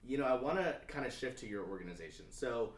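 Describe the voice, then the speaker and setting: A man's voice: medium pitch, animated, fast talker. A male TV news interviewer asking casual, rambling questions with many fillers. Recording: roomy sound, distant from mic.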